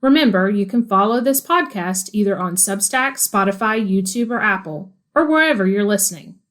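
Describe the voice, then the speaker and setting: Female podcast host, scripted narration, average pitch. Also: expressive, moderate pace, a bit bright.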